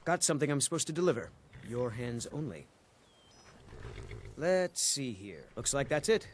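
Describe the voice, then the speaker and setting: Casting: man playing brisk fantasy-game courier, plain-spoken, animated intonation, light background ambience. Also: medium pitch, a little hiss or hum, lightly acted. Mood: brisk, businesslike. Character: hurried, businesslike male courier delivering to travellers. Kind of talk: fantasy video game dialogue.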